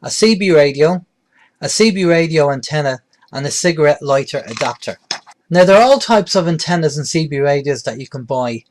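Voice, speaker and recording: A man's voice: on the high side, lively intonation, brisk. A middle-aged man presenting an informal, step-by-step beginners' tutorial in a plain-spoken, explanatory way.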